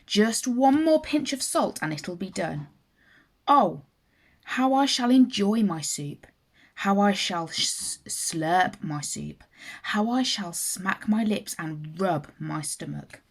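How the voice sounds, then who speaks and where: A greedy, scheming male fox from a children's storybook, acted out by a woman. He savours his words with mock politeness, and the voice has a slightly husky, creaky edge. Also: mid-range voice, expressive, slow. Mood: gloating. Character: sly, greedy, self-satisfied male fox. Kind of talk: children's fable character voice.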